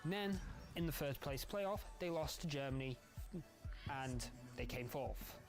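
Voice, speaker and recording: Male documentary narrator, raised pitch, measured pace, music bed audible underneath. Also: expressive.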